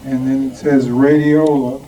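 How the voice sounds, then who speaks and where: A man's voice: medium pitch, little pitch movement, measured pace, warm tone. A man giving an informal show-and-tell talk to a club, hesitant and often searching for words. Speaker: club member presenting collection. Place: club meeting room with audience. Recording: distant muffled room mic, background noise.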